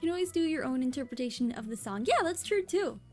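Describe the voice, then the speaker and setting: A woman hosts a casual chat in a high, bright-pitched voice, upbeat and encouraging, with a playful teasing streak. Faint music plays softly under the conversation. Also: expressive, fast. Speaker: host.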